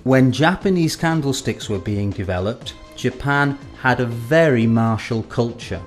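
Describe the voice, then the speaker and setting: A man reads scripted educational narration in a measured, explanatory way, drawing out the ends of his phrases. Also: medium pitch. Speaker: presenter of an educational film. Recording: music under the voice.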